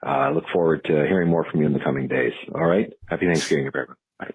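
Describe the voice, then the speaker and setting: A man's voice: rumbling low, racing, breathy edge. A man leaving a casual greeting as a caller, heard on a muffled phone voicemail.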